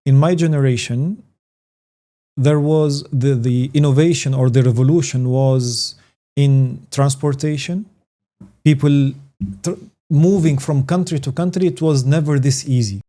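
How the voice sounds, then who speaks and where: Earnest Tunisian man with a warm, dark voice. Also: medium pitch, fairly even intonation, a little slow. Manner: earnest, emphatic, repeats key words. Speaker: guest, branding consultant. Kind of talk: conversational interview answers.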